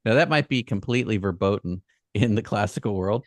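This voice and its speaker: A man hosting a podcast interview, asking halting questions in an easygoing way with short backchannels. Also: on the low side, dry recording. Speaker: podcast host. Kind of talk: casual interview chat.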